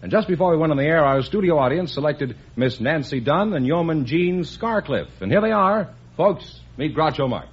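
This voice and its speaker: A man announcing a quiz show with a booming, drawn-out delivery. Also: expressive, breathy edge. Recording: dull old broadcast recording.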